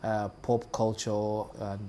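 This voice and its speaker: A man's voice: lowish voice, flat delivery, slow, warm tone, a little hiss or hum. A man in his thirties with a Nigerian accent, co-hosting a television talk show and speaking hesitantly, with many fillers and restarts. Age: 30s. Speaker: co-host. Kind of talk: casual panel chat. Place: television studio.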